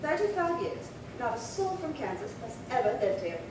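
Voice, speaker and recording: Radio drama role: actress as elderly female tenant, rambling and opinionated, lively pitch swings, recorded far off in echo. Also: normal speed. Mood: indignant. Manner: rambling, fussy, indignant recollections. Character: chatty, opinionated elderly woman tenant, long-time resident. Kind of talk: old-time radio crime drama acting. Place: live theatre stage reading. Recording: echoey hall, far-off mic, noisy rumble.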